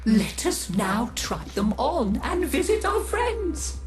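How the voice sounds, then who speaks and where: A woman's voice: sits high, very animated, takes it slowly, soft background music, pitched above the performer's own voice, heavily acted. A woman putting on flamboyant, haughty, decadent aristocrats. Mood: cruel glee. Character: vain, decadent young aristocrats, bored and cruelly gleeful. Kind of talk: storybook character voices in a video game.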